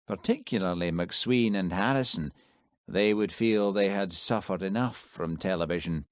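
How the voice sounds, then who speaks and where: A stiff, self-important police chief who expects constables to know their place, as a male reader puts him on. He talks in a brusque, officious way. The voice sits low and sounds dry and close. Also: moderate pace, dry recording. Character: pompous male detective superintendent.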